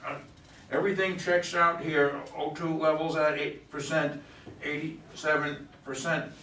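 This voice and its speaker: A man's voice: a little high, little pitch movement, a little slow, bright, forceful tone, slight background noise. A man playing an easygoing, teasing astronaut in an amateur play reading, with a casual, slightly stumbling delivery. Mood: relaxed, dutiful. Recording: echoey room, distant mic.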